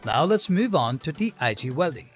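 A man narrating a scripted explainer video in an even, polished delivery without fillers. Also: a little high, moderate pace, music in the background. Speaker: channel narrator.

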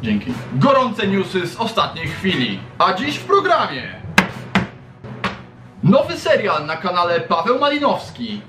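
A young man presenting a playful, informal toy review show in an enthusiastic, slangy way. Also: sits high. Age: young adult. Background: background music.

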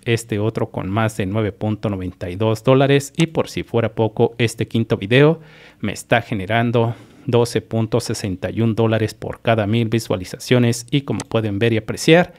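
A man's voice: on the low side, normal speed, a little mellow. A man from Mexico hosting his own channel, methodically explaining earnings over a screen recording and speaking straight to his viewers. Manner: repetitive, methodical, addresses viewers directly. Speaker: YouTube channel host.

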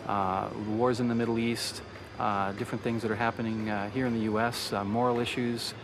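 A man's voice: medium pitch, level tone, brisk. A man in his thirties giving an earnest, articulate explanation in an interview. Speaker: convention attendee. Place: large indoor convention arena. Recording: noisy convention hall background.

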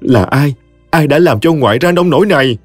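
A man voicing a grieving young woman, pleading in a high, strained, tearful voice. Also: animated, a little fast, dry recording, soft background music. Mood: anguished.

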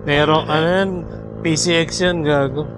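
A man's voice: a little high, steady tone, normal speed, background noise. A man with a Filipino accent bantering casually and jokingly with fellow riders over a helmet intercom on a night ride. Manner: teasing, jokey, swearing playfully. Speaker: motorcycle vlogger. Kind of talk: casual banter while riding. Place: outdoors on a motorcycle at night. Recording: loud music over riders' intercom talk.

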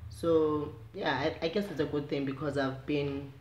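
A young South African woman with a low voice, chatting casually to the camera in a small room at home, animated and chatty. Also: moderate pace, slight background noise. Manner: chatty, animated, gestures while talking. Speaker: vlogger. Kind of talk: casual direct-to-camera chat. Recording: echoey room.

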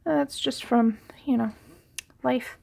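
A woman with a high voice, sniffly from a head cold, talks her way through a coloring tutorial at her desk. Her speech wanders and restarts, full of ums, and now and then she drops to a soft whisper while she draws. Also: steady tone, takes it slowly, gravelly. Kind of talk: casual real-time drawing tutorial.

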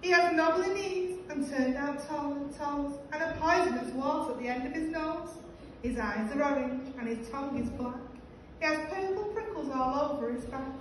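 High-pitched woman reading a children's story. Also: normal speed. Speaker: primary school reception staff member. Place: small classroom, indoors. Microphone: camera a few metres away. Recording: roomy, distant classroom recording, faint noise.

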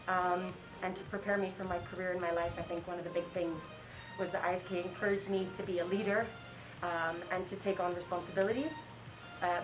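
A young woman from Kenya answers interview questions in an earnest, thoughtful way, with plenty of fillers and restarts. Her voice sits a little low and sounds set back from the mic, as if heard from a short distance. Music plays clearly underneath her. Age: 20s. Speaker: school counseling intern. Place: outdoors on a bench at a school campus.

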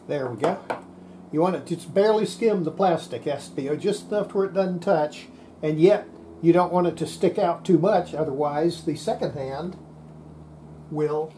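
An older man giving an unscripted hands-on repair demonstration, halting and muttering to himself as he works at a bench. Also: on the high side, mellow, a little hiss or hum. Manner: halting, muttering to self while working. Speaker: radio repair hobbyist, presenter. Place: indoor workbench.

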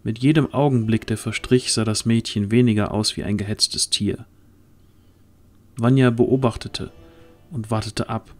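A man narrating a fantasy audio drama as a scripted storyteller. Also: fairly low pitch.

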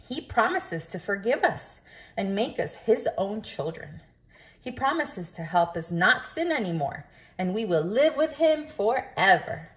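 A woman reading a children's picture book aloud in an instructive way, putting questions to young listeners. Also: on the low side. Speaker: read-aloud storyteller.

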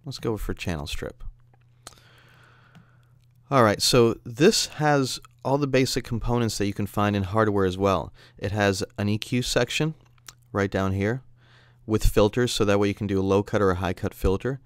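A man narrating a software tutorial as an instructor, explaining in a conversational way. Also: on the low side, normal speed, smooth, no echo, studio quality. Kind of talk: tutorial narration over screen demo.

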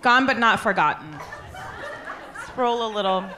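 A woman's voice: mid-range voice, animated, moderate pace, slight background noise. A woman co-hosting a casual comedy podcast live on a theater stage, playful and exclamatory in manner. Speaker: podcast co-host. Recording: loud crowd and music, live theater. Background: loud audience and music.

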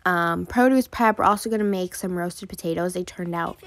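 A woman's voice: lowish voice, a little fast. A woman vlogger giving a casual, chatty voice-over introduction.

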